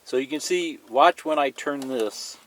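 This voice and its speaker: A man's voice: mid-range voice, a little slow, fairly bright tone, a little hiss or hum. A man giving a plain-spoken, step-by-step hands-on demonstration, pointing things out and repeating himself as he goes. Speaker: hobbyist instructor. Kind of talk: step-by-step hands-on tutorial demonstration, partly reading a manual aloud.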